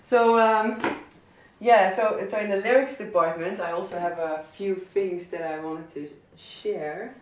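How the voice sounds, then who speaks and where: Man with a southern Dutch accent, relaxed record talk full of fillers; slightly high pitch, recorded at a distance in a reverberant room with faint noise. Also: a little slow. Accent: Dutch, southern Brabant. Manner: hesitant, many fillers and restarts. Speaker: DJ and record selector. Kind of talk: casual talk about favourite records. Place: indoor listening session. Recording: echoey room, mic at a distance.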